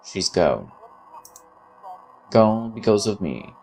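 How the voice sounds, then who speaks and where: A man's voice: lowish voice, sing-song, slow, gravelly, a little mellow. A man hosting a gaming video, reading visual novel text aloud and adding casual commentary.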